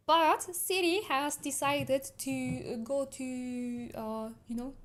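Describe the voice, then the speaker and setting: A high-pitched woman, talking to her viewers in an unhurried, easygoing way. She reads their comments aloud and drifts into long, chatty stories, laughing often as she goes. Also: fairly even intonation. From Switzerland. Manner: chatty, rambling storyteller who laughs often. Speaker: livestreamer. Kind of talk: casual chat, reading viewer comments aloud.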